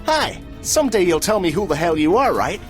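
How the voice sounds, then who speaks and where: A cocky young robot from a video game, slightly high-pitched and very animated. He talks in a breezy, cheeky way over music. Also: brisk. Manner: breezy, wisecracking. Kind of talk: video game character acting.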